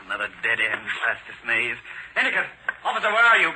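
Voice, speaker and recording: An actor playing an earnest, articulate American amateur detective in a 1940s radio crime drama. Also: male voice, medium pitch, sing-song, fast talker, lightly acted. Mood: urgent. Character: earnest, keen amateur detective, inspector's son. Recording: very noisy vintage radio recording.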